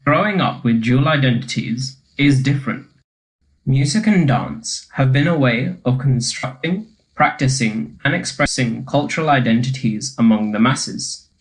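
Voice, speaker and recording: A man reading a scripted podcast narration in a measured way. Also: medium pitch, lively intonation, low-quality recording. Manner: measured, read aloud.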